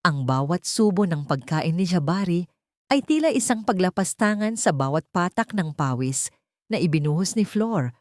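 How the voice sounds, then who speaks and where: A woman's voice: lowish voice, animated, moderate pace, darkish tone, no echo, studio quality. A woman narrating an audio story with a solemn, dramatic delivery.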